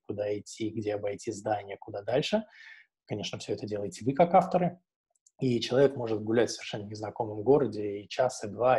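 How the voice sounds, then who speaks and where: A man's voice: on the low side, creaky, mellow, slightly rough. A man in his thirties giving an explanatory online presentation as a webinar presenter, speaking through an earphone headset on a video call. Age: 30s. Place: small indoor room, on a video call. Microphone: wired earphone headset on a video call.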